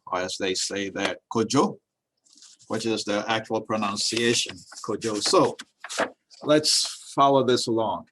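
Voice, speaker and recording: A man reads a storybook aloud as a storyteller. His delivery is expressive and folksy, and he slips easily into casual, chatty commentary. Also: on the low side, rough recording. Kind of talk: storybook reading with casual asides.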